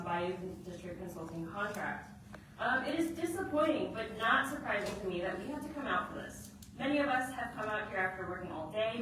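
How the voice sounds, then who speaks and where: A woman community organizer giving an earnest, measured public comment in a city council chamber. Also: mid-range voice, background noise. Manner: earnest, measured, with some fillers. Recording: echoey hall from far off.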